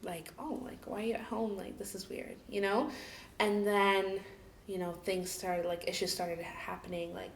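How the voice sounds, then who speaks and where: A woman's voice: mid-range voice, fairly even intonation, moderate pace, slight background noise. Chatty woman in an echoey room. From Cameroon, raised in the UAE. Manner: chatty, laughs often, many fillers like "like" and "you know". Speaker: interview guest. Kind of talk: casual personal storytelling.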